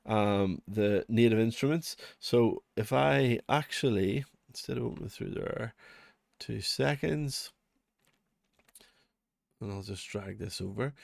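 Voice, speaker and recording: Low-voiced Northern Irish man, chatting casually. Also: slow, gravelly, a little mellow, no room sound, clean studio sound. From Northern Ireland. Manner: chatty, rambling, self-deprecating. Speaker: music production channel host. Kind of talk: casual unscripted screen walkthrough.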